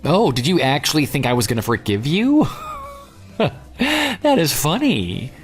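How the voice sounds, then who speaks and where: Male actor as bitter ex-fiancé, smug and taunting, lively swings in pitch, for an audio drama. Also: measured pace, soft background music. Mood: gloating. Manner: sarcastic, taunting. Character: vengeful jilted ex-fiancé, sarcastic and coldly smug. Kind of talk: dramatized phone dialogue.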